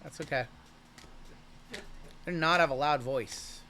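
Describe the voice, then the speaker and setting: Hyped live-stream card break host, a man with a high, bright, strained voice calling out cards. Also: slow, a little hiss or hum. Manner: hyped, joking, calls out cards as they are pulled. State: voice going hoarse from shouting. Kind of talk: livestream card break commentary.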